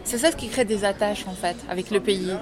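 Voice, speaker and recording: Woman from lebanon, actress, chatting in a loud crowded café, thoughtful, lots of little hesitations. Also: mid-range voice, a little fast, a crowd in the background. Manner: thoughtful, many hesitations and restarts. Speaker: interview guest, actress and drama teacher. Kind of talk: casual interview in a café. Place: busy café or restaurant.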